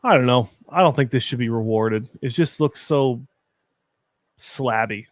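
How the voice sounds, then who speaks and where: A young man with a deep voice, chatting casually and giving opinions while hosting a live-stream watch party. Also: measured pace, dry recording, studio quality. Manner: chatty, opinionated, frequent fillers.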